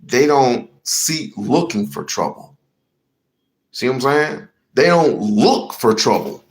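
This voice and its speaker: A man's voice: mid-range voice, animated, slow. A man hosting a heated commentary rant, slangy and swearing, repeating himself for emphasis. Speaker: commentary show host.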